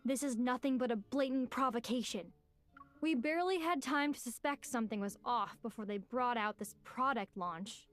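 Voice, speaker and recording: A woman's voice: fairly high pitch, normal speed, fairly bright tone, faint music behind, natural voice. A woman voicing an indignant, suspicious hunter teammate in a story game, speaking tersely.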